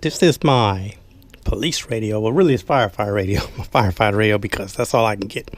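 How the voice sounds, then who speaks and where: A man's voice: on the low side, quick pace. A man giving a casual hands-on product demo indoors, talking informally and folksily, as if half to himself while handling things. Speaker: vlogger showing an unboxing. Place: small carpeted room indoors.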